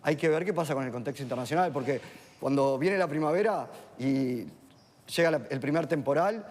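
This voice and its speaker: A man, an economist explaining things in a measured, analytical way on a TV panel. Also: fairly high pitch, in a large hall. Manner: measured, explanatory, with filler tags.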